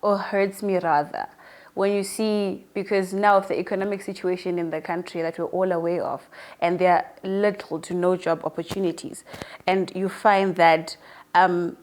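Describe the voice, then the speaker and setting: A woman's voice: lowish voice, little pitch movement, moderate pace, darkish tone. A woman in her early twenties talking casually as an interview guest, telling personal stories in a chatty way. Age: early 20s. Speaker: newly appointed online show presenter being interviewed.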